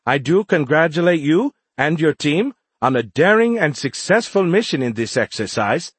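A man's voice: on the high side, very animated, moderate pace, husky, no room sound, pitched above the performer's own voice. A man voicing an earnest, formal young male alien liaison who is easily puzzled, in an audiobook. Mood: earnest, concerned. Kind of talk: audiobook character voice.

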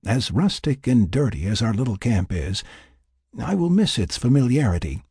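A man voicing a reflective, formal scientist keeping an expedition journal in an audiobook, in measured, wordy phrases. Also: medium pitch, big pitch swings, mellow, breathy edge, dry recording, clean studio sound, pitched above the performer's own voice, heavily acted. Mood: wistful, resolved. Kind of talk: first-person audiobook narration.

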